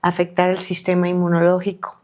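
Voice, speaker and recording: A middle-aged woman from Colombia, a doctor being interviewed on a podcast, speaking in a halting way with many fillers and restarts. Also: on the low side, completely flat delivery, a little mellow. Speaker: guest doctor.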